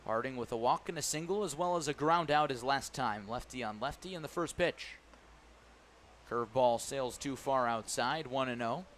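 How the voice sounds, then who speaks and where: A man calling a baseball game live on the radio as the play-by-play announcer, animated on big plays and playful with his phrasing. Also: mid-range voice, moderate pace, a little hiss or hum. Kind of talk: live radio sports commentary. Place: live at a ballpark broadcast position.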